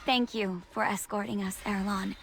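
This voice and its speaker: A woman's voice: mid-range voice, steady tone, normal speed, noisy background, lightly acted. A woman voicing a kind, earnest young female companion in a fantasy game, polite and sincere.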